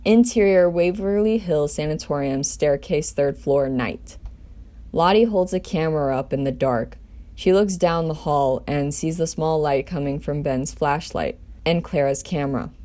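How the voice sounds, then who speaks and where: A woman's voice: deep voice, unhurried, warm tone. A woman doing an amateur table read as storyteller, narrating a script in her own voice.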